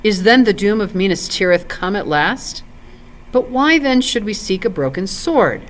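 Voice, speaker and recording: A woman's voice: mid-range voice, expressive, normal speed, close to an everyday voice. A woman voicing a proud, doubting nobleman warrior in formal, archaic storybook speech. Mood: proud, doubtful.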